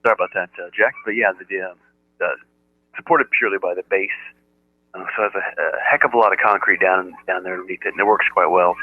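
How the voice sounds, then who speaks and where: An American man chatting casually as an amateur radio operator, with a halting delivery. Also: sits low, steady tone, noisy background. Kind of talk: casual ham radio chat. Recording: narrow-band, telephone-like radio audio.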